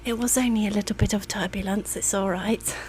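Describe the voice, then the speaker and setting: A woman doing an ASMR roleplay as a reassuring, attentive air hostess, speaking softly and soothingly, sometimes in a whisper. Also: medium pitch, steady tone, fairly quick, a little hiss or hum. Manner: soft-spoken, soothing, partly whispered.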